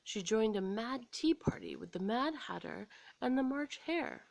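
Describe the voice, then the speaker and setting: An American woman storyteller reading a scripted children's story aloud in an expressive, dramatic way. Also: on the high side, a little slow, gravelly, low-quality recording.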